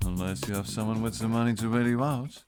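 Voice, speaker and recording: A man playing a gentle, reserved Victorian doctor in an audio drama, with an understated, measured manner. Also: lowish voice, close to an everyday voice. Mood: dry. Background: music.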